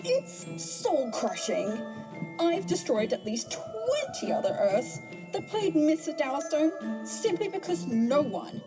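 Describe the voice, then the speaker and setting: Ruthless female villain played by a woman for comedic audio drama; high, animated, menacing, imperious, music underneath. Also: takes it slowly, full character voice, low-quality recording. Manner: menacing, theatrical, demanding. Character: ruthless multiverse villainess, obsessed card collector, imperious. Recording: music bed behind the voice.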